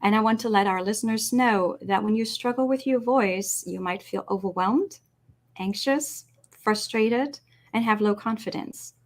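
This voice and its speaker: Woman guest expert, clear teaching delivery, mid pitch. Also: unhurried. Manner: clear, instructive, demonstrates voices. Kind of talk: explanatory podcast interview.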